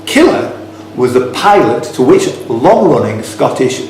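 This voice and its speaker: A man's voice: mid-range voice, very animated, unhurried. A man working as a quizmaster, reading out questions with blunt, teasing, informal banter. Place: echoey indoor club room. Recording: very echoey room, faint background noise.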